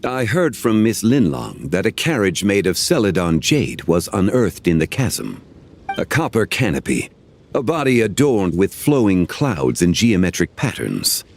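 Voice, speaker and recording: An actor playing a calm, formal, ancient-seeming gentleman of refined taste, speaking with measured diction in a game cutscene. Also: low-pitched, vocal fry, slight background noise, heavily acted.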